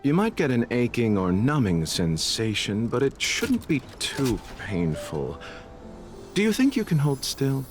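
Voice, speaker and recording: A courteous, enigmatic gentleman healer of a video game, speaking slowly with very wide swoops of pitch. His manner is formal and gently amused, with faint music underneath. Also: wildly swooping pitch. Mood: courteous, reassuring.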